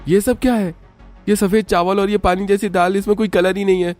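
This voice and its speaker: A man's voice: sits high, level tone, brisk, darkish tone, dry recording, soft background music, lightly acted. A man playing a poor family father in a cartoon story, fretful but caring, speaking earnestly. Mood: fed-up. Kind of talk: Hindi cartoon story voice acting.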